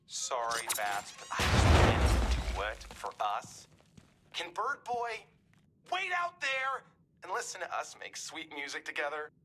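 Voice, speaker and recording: An actress playing a flirtatious, mocking villainess in a video game, drawling and teasing. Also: female voice, sits low, big pitch swings, a little slow, a bit bright, slight background noise, heavily acted. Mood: coy, flirtatious. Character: coy, playful female villain, flirtatious and mocking.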